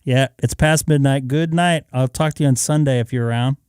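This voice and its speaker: A man hosting a ham radio live stream, chatting in easygoing banter. His pitch sits in the middle, and the sound is dry and close with no room echo. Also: brisk, a little mellow, smooth. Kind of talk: casual on-air radio chat.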